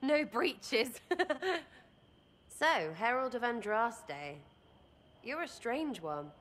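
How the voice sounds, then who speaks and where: A woman's voice: a little high, very animated, extremely slow, fairly bright tone, exaggerated voice. A woman voicing a brash, irreverent young rogue in a video game, with an English accent and a blunt, slangy, rambling manner. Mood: brash, amused.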